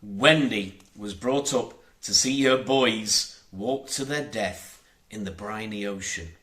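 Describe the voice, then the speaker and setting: A man reading a children's storybook aloud as an audiobook narrator, in a theatrical, expressive way. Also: lowish voice, slow. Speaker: audiobook reader.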